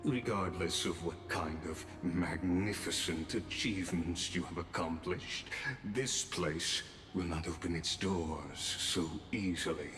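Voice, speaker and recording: An ancient male watcher in a video game, low and unhurried. He is formal, calm and enigmatic, with courteous, halting pauses. The voice echoes, with music underneath. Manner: formal, measured, unctuously polite, halting pauses. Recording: echoing space, music underneath.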